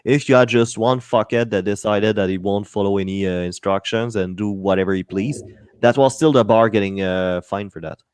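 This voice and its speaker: A man's voice: lowish voice, normal speed, a little mellow, clean tone, dry recording, studio quality. A man, a stand-up comedian, talking bluntly and swearing hard in a casual podcast chat. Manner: blunt, profane, emphatic.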